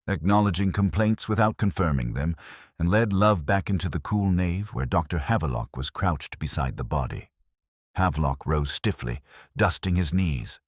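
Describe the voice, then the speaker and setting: Very deep-voiced man narrating a mystery. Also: no room sound, clean studio sound. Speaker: audiobook narrator. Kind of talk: scripted literary mystery narration.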